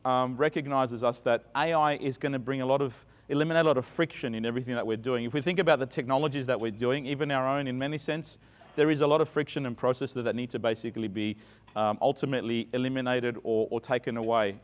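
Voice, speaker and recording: A middle-aged man with an Australian accent, giving a chatty conference talk full of fillers and restarts. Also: medium pitch. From Sydney, Australia. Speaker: conference speaker. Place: conference stage. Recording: reverberant conference hall.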